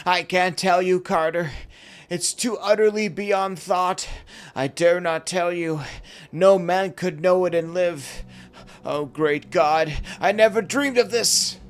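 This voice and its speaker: A man voicing a terrified, doomed man crying out from a vault in a strained, shaky, frantic voice, in a horror story reading. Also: slow pace. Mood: despairing dread. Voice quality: strained, shaky, pushed high. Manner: frantic, urgent pleading.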